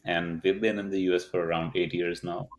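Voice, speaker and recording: A man's voice: deep voice, flat delivery, fairly quick. A man from Rajasthan, India, a parent guest introducing himself in brief, plain statements.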